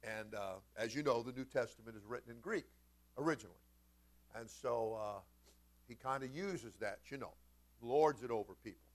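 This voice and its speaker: A man preaching a sermon as a pastor, folksy and informal, moving between conversational storytelling and emphatic, animated delivery. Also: medium pitch, moderate pace. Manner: animated, swinging from quiet conversational asides to loud emphatic shouts. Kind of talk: Pentecostal-style sermon with storytelling.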